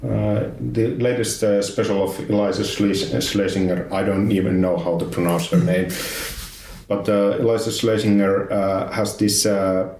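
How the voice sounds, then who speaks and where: A man with a Finnish accent hosting a podcast in casual conversation, speaking haltingly with many restarts. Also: fairly low pitch, steady tone, darkish tone, slight background noise. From Finland. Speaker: podcast host. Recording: roomy sound, distant from mic.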